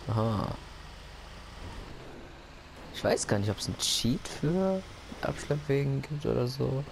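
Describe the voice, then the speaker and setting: A man's voice: medium pitch, very animated, slow, creaky. A man giving rambling, joking commentary while playing a driving game. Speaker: gaming YouTuber. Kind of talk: casual let's-play commentary. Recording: noisy gameplay recording.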